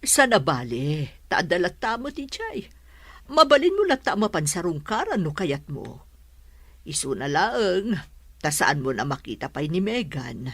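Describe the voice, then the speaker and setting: A low-pitched, lively woman acting as a meddling Ilocano mother in an audio drama. Her intonation swings widely as she frets over her grown son with a sharp tongue. The recording is close and dry. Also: measured pace, slightly rough, no room sound, exaggerated voice. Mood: nagging.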